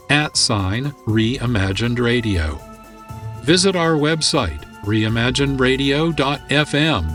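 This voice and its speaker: A male radio host reads scripted narration in a mid-pitched voice. Music plays faintly behind him. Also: a bit bright. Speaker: producer and host.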